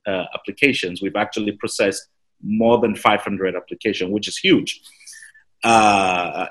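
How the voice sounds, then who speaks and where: A man's voice: medium pitch, fairly bright tone. A man with a Nigerian accent, a citizenship consultant explaining things patiently in an interview, with many fillers and repeated words. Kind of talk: interview answer.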